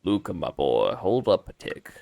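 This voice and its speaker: A man's voice: low-pitched, big pitch swings, gravelly, warm tone, faint music behind, voice pushed down, exaggerated voice. A man voicing a sweet-talking, folksy old male shopkeeper in a cartoonish video game. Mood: smitten, folksy. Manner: folksy, slow, flirtatious.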